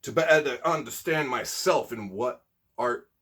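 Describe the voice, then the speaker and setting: A man with a husky voice, an amateur poet hosting his own videos, talking casually and reciting spoken-word poetry. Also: medium pitch, measured pace, fairly bright tone.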